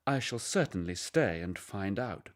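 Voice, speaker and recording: A man's voice: lowish voice, animated, unhurried. A man voicing a curious, self-assured young mongoose in a storybook reading. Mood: quietly resolved.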